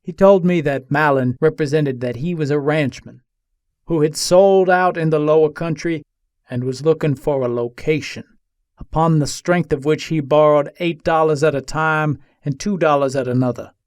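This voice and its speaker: A man performs an embattled Old West gunslinger in a dramatic reading. The voice sits a little high for a man and is recorded dry and close. He tells his side from jail in deliberate, formal, old-fashioned phrasing. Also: a little mellow, no echo, natural voice. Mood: measured recounting.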